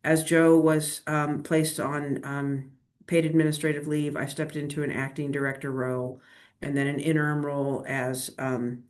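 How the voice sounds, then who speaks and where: A woman administrator explaining agency events to an advisory council at a meeting, in a measured way with many fillers, speaking into a laptop in a meeting room. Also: sits low, level tone, darkish tone. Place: meeting room, same room as a colleague. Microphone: laptop microphone.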